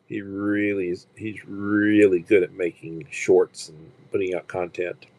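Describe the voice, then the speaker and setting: A man's voice: low voice, little pitch movement, darkish tone. A man in his sixties hosting a casual livestream, talking in a rambling way with frequent restarts and fillers. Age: 60s. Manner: rambling, halting with repeated restarts. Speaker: livestream host.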